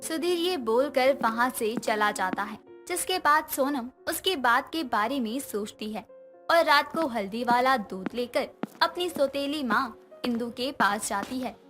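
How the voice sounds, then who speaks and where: Female narrator for moral stories, high register, light music bed. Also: animated, a little slow, fairly bright tone. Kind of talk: scripted story narration.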